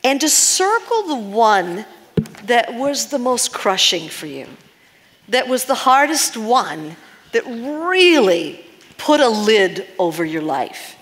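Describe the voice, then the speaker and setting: Older woman workshop leader, slightly high voice, earnest and probing, long hall reverb. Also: big pitch swings, takes it slowly, a bit bright. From United States. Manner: earnest, engaging the audience with questions. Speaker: seminar leader. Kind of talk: live workshop teaching. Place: large seminar hall.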